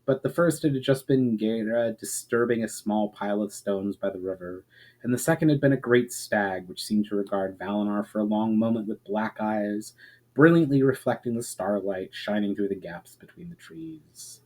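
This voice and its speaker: A man reading a fantasy story aloud as a narrator, in a measured, expressive storyteller's manner. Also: fairly low pitch, low-quality recording. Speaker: author reading fiction aloud.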